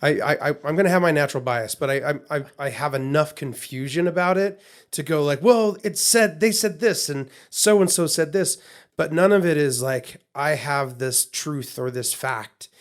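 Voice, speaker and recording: A man's voice: fairly high pitch, moderate pace. Rambling man on a casual podcast. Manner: rambling, many restarts and repeated words. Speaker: podcast co-host.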